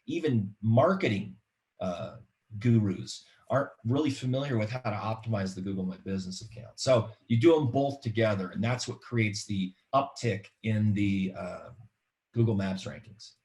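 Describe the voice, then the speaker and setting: A man's voice: lowish voice, a little mellow. Casual middle-aged American man explaining things. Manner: casual, halting, repeats words. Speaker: webinar guest presenter, marketing expert. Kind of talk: informal explanatory webinar talk. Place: home room, indoors.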